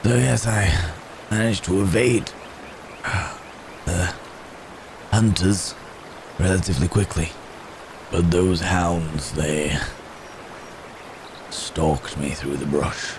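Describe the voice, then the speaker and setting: A man voicing a gentle, remorseful wounded werewolf in an intimate ASMR roleplay, speaking haltingly and formally, as if in pain. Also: deep voice, background noise. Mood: haunted, remorseful. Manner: halting, hesitant, soft-mannered storytelling with formal wording. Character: wounded male werewolf, gentle, remorseful, recounting his escape.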